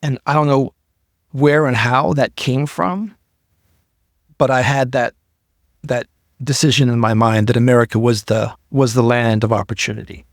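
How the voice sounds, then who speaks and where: A man's voice: medium pitch, steady tone, normal speed, long pauses, no room sound, studio quality. A middle-aged man from Iran, a podcast guest telling his story earnestly and often repeating words as he builds up. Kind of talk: casual interview talk.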